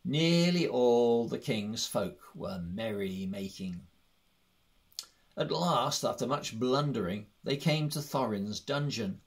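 A man reading a fantasy story aloud as scripted narration. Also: medium pitch. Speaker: audiobook reader.

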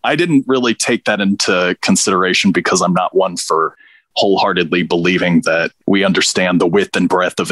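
A man's voice: sits low, fairly bright tone, no echo. A man from Pakistan, a podcast guest, telling stories in a reflective way with dry humor. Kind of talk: relaxed interview conversation.

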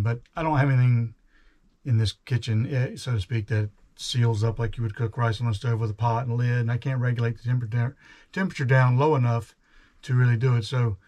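A man in his forties giving a casual, halting, step-by-step cooking demonstration in a small indoor space. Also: mid-range voice, mellow. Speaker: vlogger, home cook. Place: small boat galley, indoors. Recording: clear background noise, clicks.

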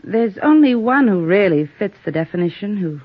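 Actress as guarded, coy American widow, muffled sound. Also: female voice, medium pitch, lively intonation, moderate pace, dry recording, natural voice. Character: strained, seductive widow under suspicion. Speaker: radio actress. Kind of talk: old-time radio drama acting. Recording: muffled old radio broadcast recording.

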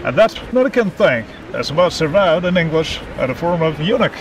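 A man's voice: a little high, expressive, moderate pace. Young man, street noise. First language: Russian. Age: young adult. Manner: wry, irreverent, playful asides. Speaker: vlogger. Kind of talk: casual educational vlog. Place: outdoors on city streets and squares. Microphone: handheld camera at arm's length.

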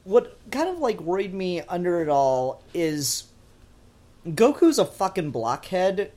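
A man's voice: high-pitched, very animated, takes it slowly, dark tone. A man hosting a casual fan review discussion, talking in an enthusiastic, opinionated, analytical way. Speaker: podcast host.

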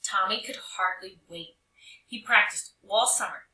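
A woman reading a children's picture book aloud in an expressive, animated way with emphatic phrasing. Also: mid-range voice, measured pace, noisy background. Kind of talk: expressive storybook read-aloud narration. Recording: roomy echo, distant mic.

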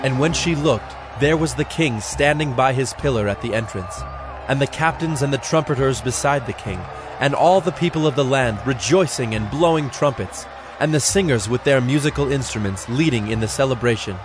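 A male narrator reads scripture in a measured, solemn way for an audio drama. His voice sits at a middle pitch, with faint music playing beneath it.

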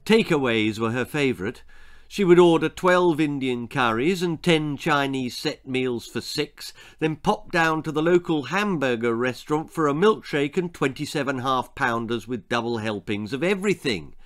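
Animated older British man telling a story. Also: mid-range voice. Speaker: author reading his own story. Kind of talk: dramatic children's storytelling, read aloud. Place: small book-lined room indoors.

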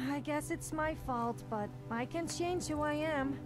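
A woman's voice: high voice, slow. A woman playing a cheeky, needy, self-deprecating young adventurer companion in a video game, flippant and confessional. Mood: wounded, sarcastic. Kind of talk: scripted video game character dialogue. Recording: game audio with music behind.

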